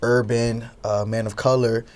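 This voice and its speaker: Young man, medium pitch, conversational, talk show guest. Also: flat delivery, a little slow, a little mellow. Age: young adult. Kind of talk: conversational interview answers.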